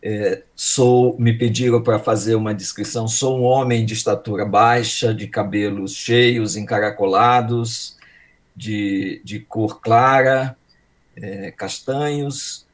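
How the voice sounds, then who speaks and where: An older man with a mixed Maranhão and São Paulo Brazilian accent, a professor giving unscripted opening remarks in a halting, reflective way. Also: lowish voice, steady tone. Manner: halting, reflective, seeks agreement with tags. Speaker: speaker, education professor. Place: indoors, online video call.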